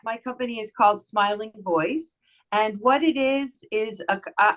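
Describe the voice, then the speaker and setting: A woman voice actress with a somewhat low voice, talking in a friendly, chatty way with an audible smile in her voice. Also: fairly bright tone. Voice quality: lower voice for a woman. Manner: chatty, friendly, giggly, smiling tone. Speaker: voice actress guest. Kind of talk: casual podcast interview.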